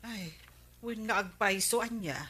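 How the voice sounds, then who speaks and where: A woman's voice: medium pitch, measured pace, bright, forceful tone, breathy edge. A woman playing a nagging, gossipy village wife in an Ilocano radio comedy, with an Ilocano accent and a scolding manner. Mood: neighborly. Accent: Filipino, Ilocano.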